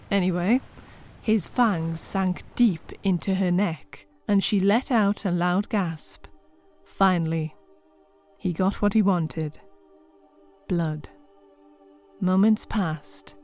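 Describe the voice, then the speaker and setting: A woman's voice: fairly low pitch, a little mellow. A woman narrating scripted comedy horror, with wry, exasperated asides to the listeners.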